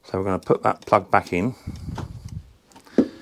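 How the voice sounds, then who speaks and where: A man hosting a casual lawnmower repair vlog from his workshop, his voice croaky from a sore throat, chatty and rambling with lots of ums. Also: sits low, fairly even intonation, normal speed. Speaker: lawnmower repair channel host.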